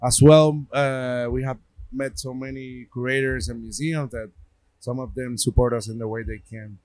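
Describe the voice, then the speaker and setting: A man's voice: medium pitch, little pitch movement, normal speed, darkish tone, dry recording, low-quality recording. A middle-aged man with a Puerto Rican accent, a gallery owner chatting in an interview, rambling with many restarts and fillers. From Puerto Rico. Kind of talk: casual interview talk.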